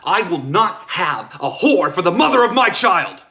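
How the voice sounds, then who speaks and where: An actor playing a jealous, bitter, wounded husband, accusing and scornful in a theatrical period drama table read. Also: male voice, high voice, big pitch swings, unhurried, bright, forceful tone, full character voice. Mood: outraged. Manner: accusing, emphatic delivery.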